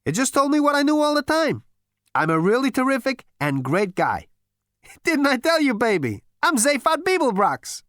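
A man voicing a brash, smug male adventurer who boasts loudly and drawls his words, in a dry-sounding audiobook reading. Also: very high voice, huge pitch swings, moderate pace, no echo, pitched above the performer's own voice, heavily acted. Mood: cocky. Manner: loud, cocky, drawling boasts.

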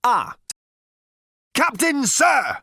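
A man acts a self-important military underling in a comic audiobook. He barks zealously and loudly, pitched far up with big swings. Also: sing-song, slow pace, vocal fry, slightly rough, no echo, studio quality, full character voice. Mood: eager. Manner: loud, barking, self-important. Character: zealous, shouting military second-in-command, male.

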